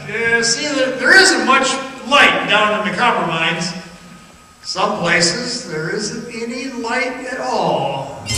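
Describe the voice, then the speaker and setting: Man acting slow, echoing haunted old-time miner storyteller. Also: high-pitched, pushed and bright, heavily acted. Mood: haunted, ominous. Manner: folksy, rambling, ominous, halting with pauses. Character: haunted middle-aged former copper-mine guide recounting a dark tale. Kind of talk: radio theatre monologue, folksy storytelling. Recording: roomy echoing hall, distant mic, faint noise.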